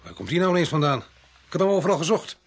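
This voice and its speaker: A man's voice: medium pitch, lively intonation, a little fast, natural voice. Male actor doing weary village police sergeant in a radio play, blunt and commanding, faint noise behind. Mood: tense. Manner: plain-spoken, giving short orders. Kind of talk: radio drama acting.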